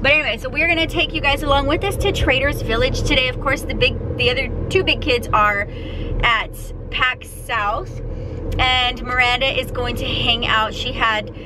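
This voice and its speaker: A woman's voice: high-pitched, fairly even intonation, moderate pace, noisy background. A woman in her thirties chatting in a rambling way with lots of fillers, filming herself inside a car at arm's length. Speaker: family vlogger. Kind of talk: casual vlog chat. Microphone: camera held at arm's length.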